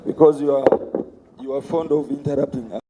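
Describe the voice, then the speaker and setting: A hoarse middle-aged man, giving an emphatic campaign speech to a party conference. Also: medium pitch, steady tone, heavy background noise. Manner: slow, emphatic orator. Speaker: candidate for party office.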